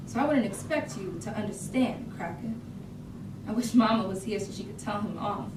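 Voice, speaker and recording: A woman's voice: fairly high pitch, recorded from a distance, lightly acted. Woman acting proud young art student, echoey room. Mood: hurt, wistful. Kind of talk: staged reading of dramatic monologue. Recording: echoey room from afar, noisy.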